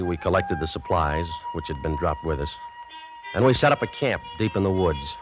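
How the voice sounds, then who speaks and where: An actor playing a terse, no-nonsense American lieutenant in an old-time radio drama. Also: male voice, deep voice, natural voice. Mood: measured. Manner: terse, commanding, dry. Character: gruff, no-nonsense American army lieutenant leading resistance fighters. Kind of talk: 1940s-50s radio drama acting with first-person narration. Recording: dull, lo-fi vintage radio recording.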